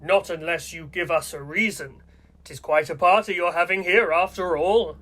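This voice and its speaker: Man acting gentlemanly villain Santa, radio play, high-pitched, bright hard edge, polite, threatening. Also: normal speed, faint music behind. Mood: genteel menace. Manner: courteous, formal, threatening.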